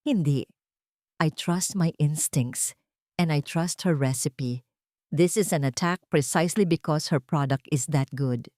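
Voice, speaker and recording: A woman voicing a calm, principled male company boss in a narrated story, stressing each word and mixing English with Tagalog. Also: sits low, a little slow, dry recording, clean studio sound. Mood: resolute.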